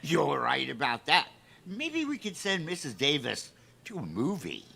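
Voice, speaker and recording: An actor playing a folksy, lovestruck old custodian in an old-time radio comedy. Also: male voice, a little high, huge pitch swings, a little slow, vocal fry, sharp tone, a little hiss or hum, exaggerated voice. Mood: eager, sly. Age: older. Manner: folksy, drawling rustic turns of phrase.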